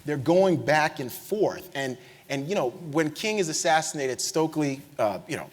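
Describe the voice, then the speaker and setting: A man on a panel in a lecture hall, telling a story with lively energy, often adding "right?" and restarting his words. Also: on the high side, moderate pace. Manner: animated storyteller, tag questions, frequent restarts. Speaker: panelist, historian.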